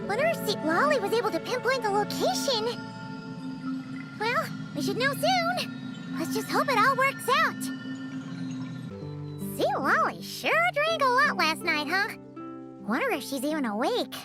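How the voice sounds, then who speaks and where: A woman voicing a small, excitable, childlike female fairy companion in a video game, with a squeaky, bubbly, chatty delivery. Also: a little slow, long pauses, heavily acted. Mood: cheerful, curious. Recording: loud music behind the voice.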